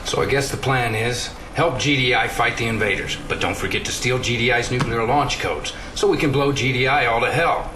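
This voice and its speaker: An actor playing a cynical but loyal military officer in a video game cutscene, with a colloquial, indignant way of talking. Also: male voice, medium pitch, fairly quick, few pauses, background noise, natural voice. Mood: sardonic.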